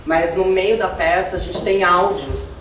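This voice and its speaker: A man's voice: sits high, moderate pace, fairly bright tone. Man explaining, in an echoey room. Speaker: theatre artist being interviewed. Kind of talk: conversational interview answers. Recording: roomy, distant mic, faint noise.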